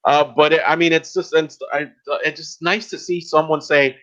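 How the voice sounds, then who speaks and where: A man hosting a casual podcast interview, rambling and halting as he works toward his questions. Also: a little high, steady tone, fairly bright tone, dull audio. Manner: halting, rambling, filler-heavy.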